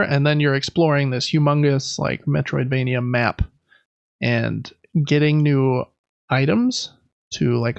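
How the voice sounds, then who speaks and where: A man's voice: on the high side, gravelly, dry recording, highs cut off. A man co-hosting a podcast, chatting casually about video games in a rambling, enthusiastic, informal way. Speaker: podcast co-host.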